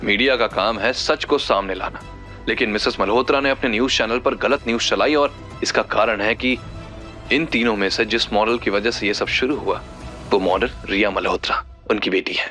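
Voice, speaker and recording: A man's voice: fairly low pitch, normal speed, bright, forceful tone, pitched below the performer's own voice. A man playing a stern, indignant male television expert in an audio drama. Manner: grave, condemning. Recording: background music under the voice.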